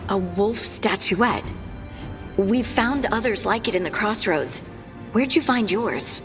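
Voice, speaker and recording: A woman's voice: mid-range voice, animated, slow, in a large hall, soft background music. An actress playing an earnest, loyal young woman scout in a video game, speaking informally. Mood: curious. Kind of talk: video game cutscene acting.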